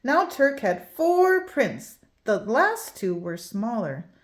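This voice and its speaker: A woman reading a children's picture book aloud, expressive and storytelling. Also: fairly high pitch, slow pace. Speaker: read-aloud host.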